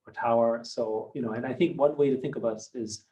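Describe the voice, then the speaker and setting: A man in his fifties, a German native speaker who grew up in Austria, answering interview questions in a measured, reflective way with many hedging fillers. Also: mid-range voice, steady tone, warm tone. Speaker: company founder and guest. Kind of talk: podcast interview.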